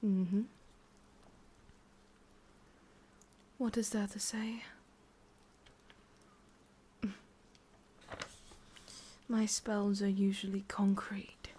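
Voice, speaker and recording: A woman's voice: medium pitch, flat delivery, very slow, pauses often, dark tone, a little hiss or hum. A woman with an English accent playing a possessive, sadistic ancient witch in a whispered ASMR roleplay, coaxing and controlling. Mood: wistful, menacing.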